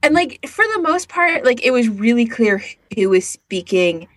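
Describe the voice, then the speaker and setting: A woman's voice: a little high, big pitch swings, moderate pace, dry recording. A woman co-hosting a podcast, chatting casually and informally as she gives recommendations, with a giggly streak. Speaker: podcast co-host. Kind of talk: casual chat recommending picks.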